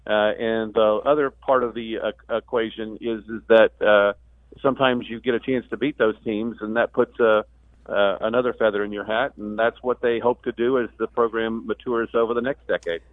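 A man talking as a guest sportscaster on a sports talk radio show, explaining things in a halting way with repeated words. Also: fairly low pitch, steady tone, dry recording. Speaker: radio play-by-play announcer as guest. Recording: thin phone-like line.

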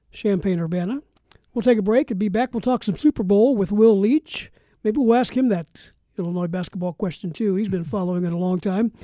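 Mellow male sports radio host. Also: sits high, no echo. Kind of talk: casual sports talk radio hosting. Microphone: studio broadcast microphone.

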